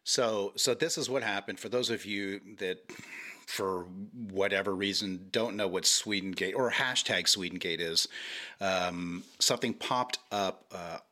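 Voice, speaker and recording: A man's voice: low-pitched, unhurried, bright, forceful tone. A middle-aged man chatting casually as a podcast guest, hedging with many restarts and fillers while explaining diplomatically. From Hawaii.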